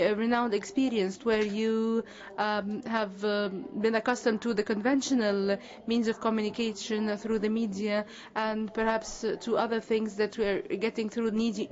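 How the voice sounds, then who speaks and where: A woman giving live interpretation at a conference panel, halting often with hesitations and correcting herself mid-phrase. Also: a little high, a little mellow, dull audio.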